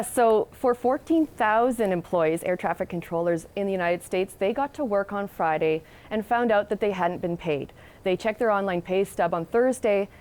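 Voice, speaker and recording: A woman's voice: mid-range voice, darkish tone. A woman giving a conversational live news report as a reporter.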